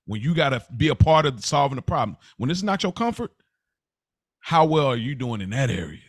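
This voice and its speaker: A man chatting reflectively as a podcast co-host, halting at times and repeating words for emphasis. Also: mid-range voice, sing-song, no room sound, studio quality. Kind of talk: casual reflective podcast chat.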